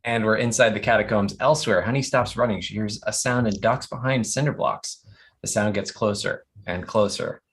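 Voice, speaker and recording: A man's voice: lowish voice, normal speed, a bit bright. Man reading stage directions, amused. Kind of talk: scripted narration at an animated comedy table read.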